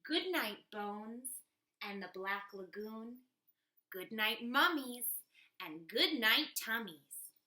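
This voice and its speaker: A woman teacher reading a picture book aloud to children in an expressive, encouraging way. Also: fairly high pitch, slow. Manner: expressive, encouraging, asks the listener questions. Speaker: elementary school teacher. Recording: echoey room.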